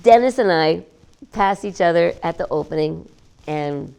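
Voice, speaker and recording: A woman artist talking about her work in an interview, animated and emphatic, repeating words for effect. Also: lowish voice, unhurried, vocal fry, clear, resonant tone. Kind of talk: gallery interview.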